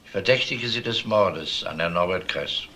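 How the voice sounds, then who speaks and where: Blunt crime-drama detective: bright, forceful lowish voice, probing, some background noise. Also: male voice, normal speed. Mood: confronting. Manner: probing, matter-of-fact. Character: male police detective, probing and blunt interrogator. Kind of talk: German TV-crime style radio drama acting.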